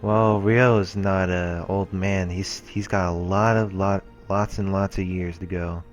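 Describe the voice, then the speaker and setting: A man reading visual novel text aloud in a casual, halting way, as a let's player. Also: low-pitched, little pitch movement, soft background music.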